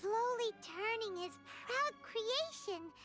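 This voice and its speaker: A woman's voice: extremely high pitch, lively intonation, takes it slowly, a bit bright, full character voice. A woman voicing a tiny childlike fairy companion in a video game, curious and sympathetic, with a cute, earnest manner. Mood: pensive, wistful. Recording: background music under the voice.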